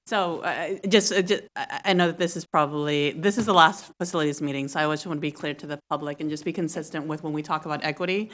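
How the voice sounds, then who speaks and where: A woman's voice: low voice, steady tone, fast, hardly any pauses, a bit bright. A woman on a school board, speaking carefully and hesitantly with many fillers during a meeting. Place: civic center meeting hall.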